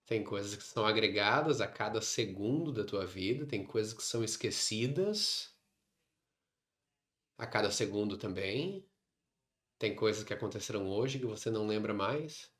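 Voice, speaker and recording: A man with a Brazilian Portuguese accent leading a guided meditation, instructing in short phrases separated by long pauses. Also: on the low side, expressive, a bit bright. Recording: roomy, echoing sound.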